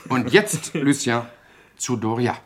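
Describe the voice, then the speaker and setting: A man's voice: mid-range voice, animated, slow pace, crowd noise. An actor playing a scheming newspaperman in a radio play, commanding and sardonic in manner. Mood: brisk, scheming. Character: brisk, cynical, scheming male newspaperman. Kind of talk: German radio play acting.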